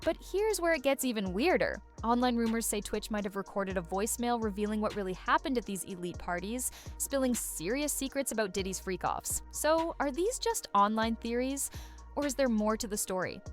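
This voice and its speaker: A woman's voice: fairly high pitch, expressive, brisk, faint music behind. A woman reading scripted gossip-video narration in a dramatic, suspense-building way.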